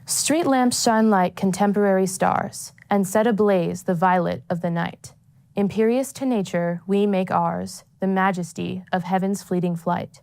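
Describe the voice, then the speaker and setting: A woman gives a formal poetry recital. Her voice is a bit low and noticeably gravelly with vocal fry. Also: darkish tone. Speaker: student poet.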